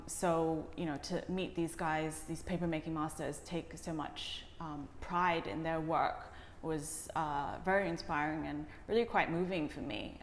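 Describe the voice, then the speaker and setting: A woman with a low voice answers interview questions reflectively. Her phrasing is halting and hesitant. The sound is echoey and reverberant, with faint noise behind her. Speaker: interview guest, documentary director.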